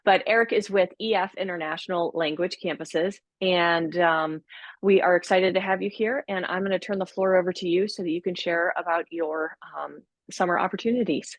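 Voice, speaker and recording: A woman moderating a virtual fair on a video call, introducing speakers in a casual, slow and hesitant way. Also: lowish voice, fairly even intonation, no room sound.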